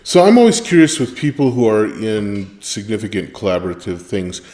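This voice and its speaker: A man's voice: lowish voice, big pitch swings, moderate pace, mellow. A man hosting a podcast, asking questions in a curious, conversational way. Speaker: podcast host. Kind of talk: casual interview. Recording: echoey room.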